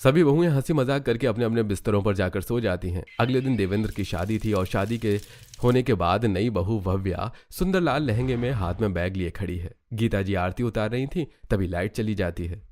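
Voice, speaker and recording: A man's voice: on the low side, normal speed, a little mellow. A man narrating a scripted cartoon story.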